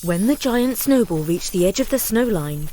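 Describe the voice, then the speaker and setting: A woman narrating a bedtime story for children in an expressive, deliberate storyteller's manner. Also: medium pitch, slight background noise.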